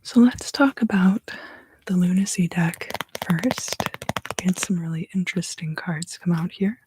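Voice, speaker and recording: A woman from the southern US East Coast giving a soft, unhurried ASMR card reading in whispers, often pausing. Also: lowish voice, breathy edge. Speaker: tarot reader and ASMR creator.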